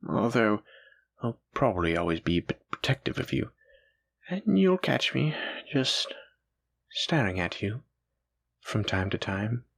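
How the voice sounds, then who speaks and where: A man playing a doting, gently possessive dragon-blooded librarian, speaking tenderly and coaxingly to his sweetheart in an intimate audio roleplay. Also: lowish voice, sing-song, a little slow, vocal fry, long pauses, full character voice. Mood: tender, reassuring. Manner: tender, coaxing, affectionate, reassuring. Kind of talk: intimate scripted audio roleplay addressed to the listener.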